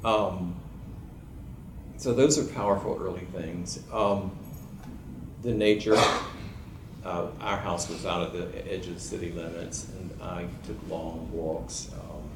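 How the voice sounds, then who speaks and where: A man from Georgia speaking as a panelist writer, unhurried and musing, with frequent ums and uhs. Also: low-pitched, steady tone. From Georgia, USA. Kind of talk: reflective panel talk. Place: event room in a library. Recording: echoey room with background noise.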